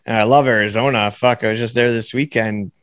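A man hosting an interview in casual chat, his voice raspy, blunt and swearing freely. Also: medium pitch, fairly even intonation, brisk, a bit bright, no echo, clean studio sound. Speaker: podcast host.

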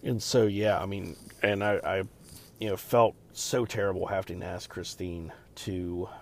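A man hosting a podcast alone, talking in a loud, deep voice and rambling casually with many fillers and restarts. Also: fairly even intonation, a little slow. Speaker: podcast host. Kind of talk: casual solo podcast chat.

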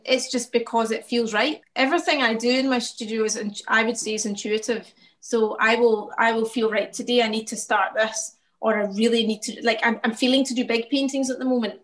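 A woman painter talking reflectively about her work in a casual interview, often laughing. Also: a little high.

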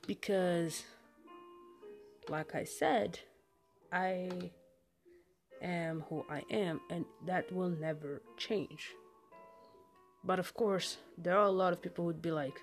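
Lower-voiced woman giving emphatic personal advice. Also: takes it slowly, long pauses, soft background music. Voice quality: self-described masculine voice. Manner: emphatic, repeats phrases for emphasis. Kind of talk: casual monologue, giving advice.

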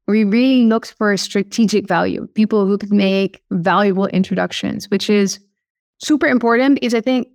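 Woman, Dutch accent, founder guest; upbeat, step-by-step explainer, dry close-mic sound, mid-pitched voice. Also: normal speed, vocal fry, a little mellow, no echo. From Netherlands. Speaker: guest, startup CEO. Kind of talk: podcast interview.

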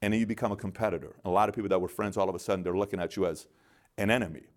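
A middle-aged man born in Iran, guest in an interview, telling animated stories and acting out the dialogue. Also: deep voice, racing. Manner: animated storyteller, acts out dialogue in quotes. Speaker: guest, business founder. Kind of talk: storytelling interview.

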